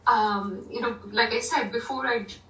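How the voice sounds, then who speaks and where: A woman's voice: a little high, steady tone, normal speed, a bit bright, slight background noise. A woman from India, a university Hindi lecturer, answering interview questions thoughtfully with many "you know" fillers. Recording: echoey room, distant from mic.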